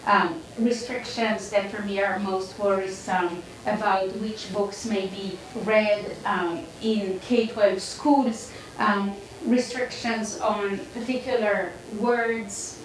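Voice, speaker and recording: A woman with an Israeli accent giving a public lecture as a professor at a podium, halting often with fillers. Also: mid-range voice, a little hiss or hum. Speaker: guest speaker, professor. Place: university lecture hall. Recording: echoey lecture hall.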